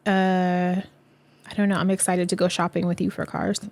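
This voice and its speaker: A woman's voice: on the low side, little pitch movement, normal speed, a little mellow. A woman co-hosting a podcast, chatting casually with sassy, emphatic remarks and casual swearing. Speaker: podcast co-host. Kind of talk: casual chat.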